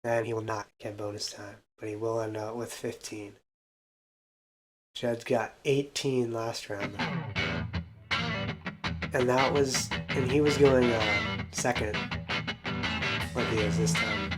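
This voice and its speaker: A man's voice: on the low side, fairly even intonation, slow, long pauses. A man casually commentating a baseball video game, counting hits aloud and adding self-deprecating asides. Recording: faint background music.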